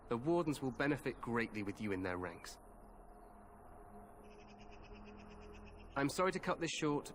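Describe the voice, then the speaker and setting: A man's voice: on the high side, a little hiss or hum, close to an everyday voice. An actor playing a cheerfully overconfident king eager for glory in a fantasy game, with a hearty, regal yet informal manner. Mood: confident, breezy. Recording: orchestral game music behind voice. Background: music.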